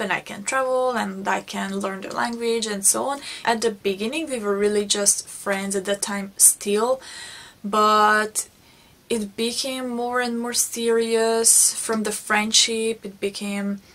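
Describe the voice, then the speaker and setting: Slow-speaking Hungarian woman vlogger. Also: mid-range voice, fairly bright tone. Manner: unhurried, reflective, frequent restarts. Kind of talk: personal storytelling vlog.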